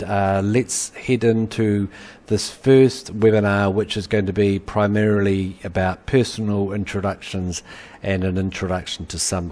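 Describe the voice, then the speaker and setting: Middle-aged New Zealand man, lecturer, low voice, halting, fillers throughout. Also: a little mellow. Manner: halting, many uhs and ums. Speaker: university lecturer. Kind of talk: webinar lecture.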